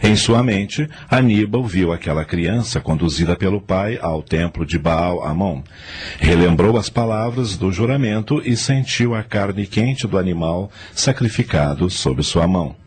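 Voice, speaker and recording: A man's voice: low-pitched, a little slow, darkish tone, breathy edge. A man narrating a radio drama from a script in a storytelling role. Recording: music under the voice.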